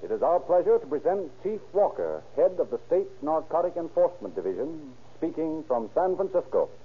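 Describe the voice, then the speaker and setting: A man's voice: on the high side, big pitch swings, brisk, phone-quality sound. Emphatic 1930s radio announcer, male. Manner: formal, emphatic, polished salesmanship. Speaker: announcer and narrator. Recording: thin 1930s radio recording, music heard.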